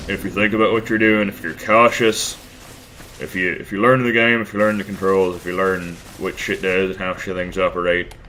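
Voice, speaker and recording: A man's voice: fairly low pitch, bright, forceful tone, slight background noise, rough recording. A man commenting casually on his gameplay, rambling, crude and self-deprecating, a bit stuffed up from a cold. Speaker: let's play host.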